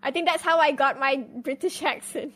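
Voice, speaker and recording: Woman, young adult, high-pitched, casual storyteller, swears freely. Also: steady tone, brisk, fairly bright tone. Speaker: guest on a live stream.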